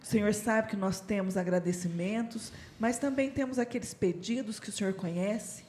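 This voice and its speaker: A woman's voice: mid-range voice, moderate pace, a little mellow, slight background noise. Earnest woman praying aloud. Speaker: Bible study co-host. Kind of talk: prayer and Bible study chat.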